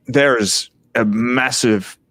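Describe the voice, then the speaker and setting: A man's voice: medium pitch, slow, fairly bright tone, dry recording. A man hosting a casual livestream chat, rambling and laid-back, swearing now and then. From Australia. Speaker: livestream host.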